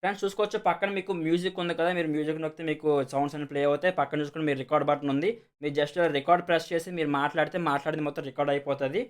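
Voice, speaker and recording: A man's voice: on the high side, steady tone, very fast, clean tone. A man with a Telugu accent casually explaining and demonstrating a product as a video host, repeating himself and mixing in many English words. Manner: repetitive, peppers speech with English words.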